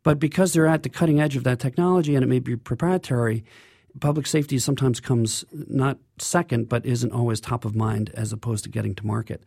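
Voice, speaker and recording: A man's voice: mid-range voice, steady tone, brisk, vocal fry, darkish tone, husky, dry recording, clean studio sound. A man speaking as an engineer guest in an interview, explaining things in an earnest way.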